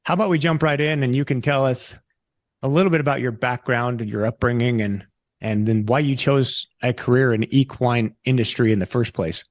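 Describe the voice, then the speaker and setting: Podcast host with a dry sound. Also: male voice, medium pitch, fairly even intonation, a little fast, dry recording. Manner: rambling, folksy introduction. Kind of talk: interview introduction.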